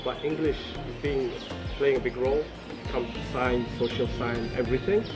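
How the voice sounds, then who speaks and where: A man's voice: medium pitch, fairly even intonation, normal speed. Somali-born man, confident interviewee, music bed. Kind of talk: unscripted interview answers.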